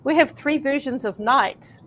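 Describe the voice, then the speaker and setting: A woman's voice: sits high, a little slow, a bit bright, a little hiss or hum. Enthusiastic woman guest, conversational. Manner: enthusiastic, uses "you know" and "right?". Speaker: guest speaker. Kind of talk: casual interview chat.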